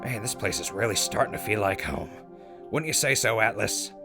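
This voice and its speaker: A man's voice: fairly low pitch, moderate pace, gravelly, over music. A man playing a wry, easygoing radio host presenting a show in a post-apocalyptic audio drama. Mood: upbeat.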